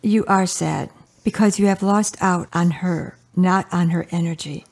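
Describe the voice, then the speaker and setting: A woman acting in a radio drama as a devout, gentle older mother, patient and earnest as she counsels her grown daughter. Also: fairly low pitch, fairly even intonation, a little slow, gravelly.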